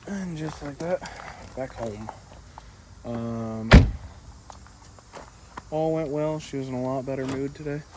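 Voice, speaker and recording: A man talking in a casual, rambling, slangy vlog style, chatting to viewers as he goes. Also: medium pitch. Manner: laid-back slangy talk, calls listener dude. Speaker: vlogger. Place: outdoors in a yard. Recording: outdoor recording with clear insect and ambient noise.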